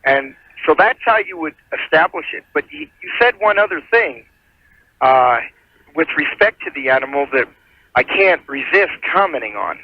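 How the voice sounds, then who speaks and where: A male attorney explains things methodically on a radio call-in show. His voice is a bit higher than average and his wording is formal. It comes through muffled, like a phone call on an old broadcast. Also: expressive, moderate pace, no echo. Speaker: attorney calling in as legal adviser. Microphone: telephone.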